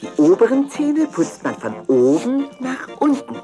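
A woman's voice: on the high side, very animated, a little slow, over music. A woman playing a spirited, clever animal who teaches tooth care in a children's audio play, instructive and emphatic. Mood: cheerful teaching.

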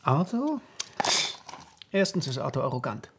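A man's voice: mid-range voice, sing-song, measured pace, noisy background. A man playing a scheming adviser who coolly instructs a young prince point by point in a radio play. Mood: cool, persuasive. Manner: coaxing, reasoning point by point.

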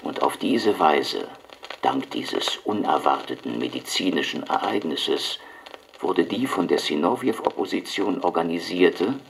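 A man playing a cynical Soviet secret police agent who confesses in a deliberate, formal recital, in a radio drama. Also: low-pitched, gravelly, husky, heavily acted.

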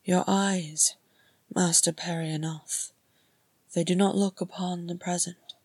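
A woman voicing a gentle, perceptive, wistful elf woman in a story, speaking softly. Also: lowish voice, steady tone, no echo. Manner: soft, breathy, slow.

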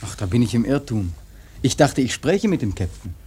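A young sailor in a radio drama, with a husky, slightly rough voice at a middle pitch. He is earnest and soft-spoken, addressing his elders with respectful politeness. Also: sing-song, moderate pace. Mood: curious, respectful. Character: eager, polite young sailor seeking a whaling berth. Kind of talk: German radio play acting.

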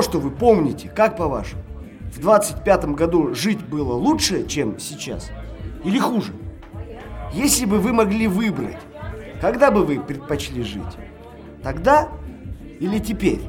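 Anxious earnest man in a radio play, high voice, music faintly behind. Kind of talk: audio drama acting.

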